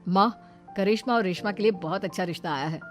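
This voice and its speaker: Woman doing a stern big brother, lowered voice. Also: fast, soft background music, close to an everyday voice. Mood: earnest, instructing. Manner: instructing, scolding. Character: protective but stern, quick-tempered older brother.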